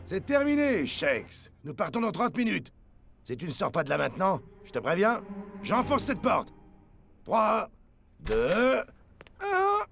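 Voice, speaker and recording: Cartoon coach: stern, exasperated, gravelly, bossy, slowish, with huge pitch swings. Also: male voice, huge pitch swings, a bit bright, a little hiss or hum, exaggerated voice. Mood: threatening. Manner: bossy, threatening, grumbling. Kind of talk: French cartoon dub acting.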